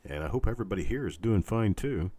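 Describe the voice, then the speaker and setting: A man hosting a casual live online auction stream, chatting in a rambling, halting, folksy way with a scratchy, stuffed-up voice weakened by illness. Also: lowish voice, animated, dark tone, husky, dry recording. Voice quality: congested, stuffed-up nose. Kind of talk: casual livestream chat with viewers.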